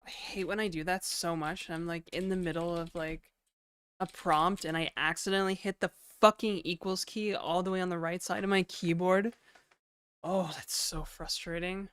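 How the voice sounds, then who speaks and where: A hoarse woman talks through her code on a live stream, casual and cursing freely, with plenty of "like" between thoughts. Her voice sits on the low side for a woman. The sound is dry and close, with no room echo. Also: fairly even intonation, moderate pace, a bit bright. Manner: casual, swearing, thinking aloud with many fillers. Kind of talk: live coding stream commentary. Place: home apartment.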